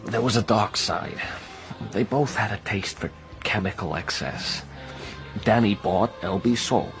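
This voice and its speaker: An actor playing a fat, wisecracking, streetwise American in New York, slangy and knowing, in a radio drama. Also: male voice, fairly low pitch, measured pace, over music. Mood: knowing, confiding.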